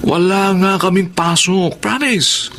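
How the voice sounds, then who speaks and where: An actor playing an evasive, sheepish teenage schoolboy in a radio drama, speaking in a hesitant, defensive way. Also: male voice, high voice, takes it slowly, a bit bright, exaggerated voice. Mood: evasive, nervous. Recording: noisy radio drama recording.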